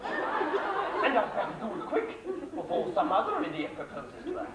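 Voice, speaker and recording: A man's voice: very high voice, wildly swooping pitch, moderate pace, a loud crowd, exaggerated voice. A man playing a scheming, boastful wicked-uncle villain in an amateur stage pantomime, recorded from far off in a theatre. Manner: mocking, boastful, wheedling. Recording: roomy theatre sound from far off.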